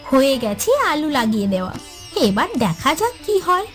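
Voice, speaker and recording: A woman's voice: high voice, sing-song, moderate pace, fairly bright tone, faint music behind. A woman playing a caring, eager younger co-wife in a village cartoon, with a sweet manner. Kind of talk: cartoon voice acting.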